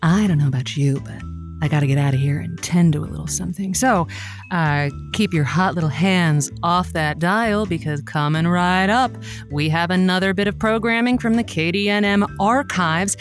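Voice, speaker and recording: A woman's voice: fairly low pitch, normal speed, faint music behind, natural voice. A woman playing a feisty, teasing host of a comedic call-in radio show. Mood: playful, breezy.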